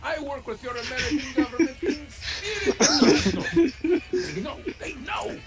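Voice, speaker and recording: A man's voice: very high-pitched, expressive, a little slow. A man voicing a scheming male shopkeeper with a put-on Russian accent, speaking in broken grammar and boasting in grand propaganda phrases, as video game acting. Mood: grandiose. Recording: game audio under music.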